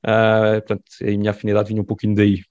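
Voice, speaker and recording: A middle-aged man with a European Portuguese accent, answering interview questions in a measured, hesitant way with many pauses. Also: on the low side, monotone, a little mellow. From born in France, raised in Portugal. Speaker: guest. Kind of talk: conversational interview. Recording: dull dry lo-fi sound.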